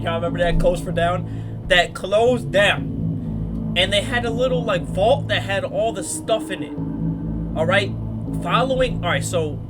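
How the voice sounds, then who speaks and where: A man hosting a reaction video with casual, slangy, excitable commentary. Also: sits high. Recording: loud music behind the voice. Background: loud music.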